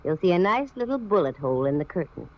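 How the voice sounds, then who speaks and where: A woman acting a sharp, wisecracking private detective in a vintage radio mystery. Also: fairly low pitch, sing-song, fast talker. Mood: wry, confident. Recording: dull old radio drama recording.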